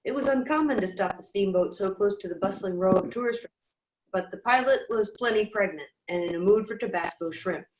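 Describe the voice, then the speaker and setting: Brief: participant reading a short story, echoey room on a call. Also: medium pitch. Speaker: workshop participant. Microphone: video call. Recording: roomy echoey call audio.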